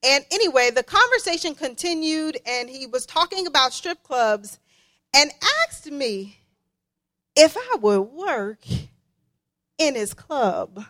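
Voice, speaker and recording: A woman preaching a sermon as a guest, emphatic and given to deliberate repetition for weight. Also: sits high, expressive, sharp tone.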